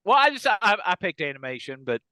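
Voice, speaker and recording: A man's voice: high-pitched, big pitch swings, quick pace, pushed and bright, no room sound. A middle-aged man co-hosting a podcast, rambling enthusiastically through casual comedic chat. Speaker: podcast co-host.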